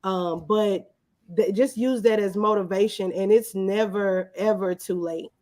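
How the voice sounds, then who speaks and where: A woman's voice: mid-range voice, unhurried, darkish tone. Candid woman in her thirties, casual interview guest. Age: 30s. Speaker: guest, videographer.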